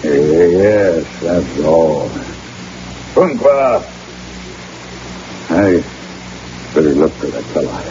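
An actor playing a haunted, uneasy backwoods guide with a French-Canadian accent, halting and wary, in an old radio drama. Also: male voice, very deep voice, expressive, heavily acted. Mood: evasive. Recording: muffled vintage radio recording, loud hiss.

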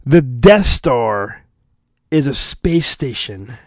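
A man's voice: mid-range voice, animated, extremely slow, a little mellow, no room sound, clean studio sound. A man hosting a podcast, giving a mock-dramatic storybook reading with theatrical, self-mocking asides. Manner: theatrical, self-mocking, often breaks off to mutter. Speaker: podcast host and reader.

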